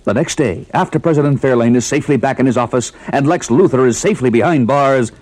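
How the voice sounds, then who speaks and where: A man's voice: medium pitch, quick pace, a little mellow, a little hiss or hum, lightly acted. A man reading scripted scene-linking narration for an old superhero audio drama.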